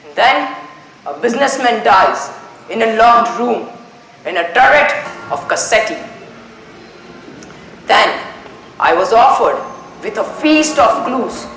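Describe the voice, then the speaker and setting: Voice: medium pitch, lively intonation, fairly bright tone, slight background noise. Young performer playing theatrical detective, swooping delivery, echoey stage. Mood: dramatic recounting. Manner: declamatory, deliberate, dramatic pauses. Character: theatrical male detective solving a murder. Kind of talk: amateur school stage play monologue. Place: school stage. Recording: echoey stage recording with music behind.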